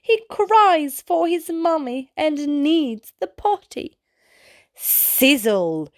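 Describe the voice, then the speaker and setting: A woman reading a children's picture book aloud as a storytime host, in an expressive way with exclaimed sound-effect words. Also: high voice, no room sound, studio quality. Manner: slow, deliberate delivery for young children.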